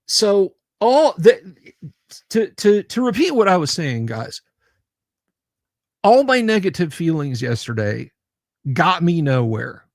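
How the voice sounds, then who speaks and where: Guy rambling slow on his stream, repeats himself, sarcastic, kinda high voice, dry close mic. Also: big pitch swings, no echo. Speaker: livestream host. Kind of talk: casual chat reading viewer comments.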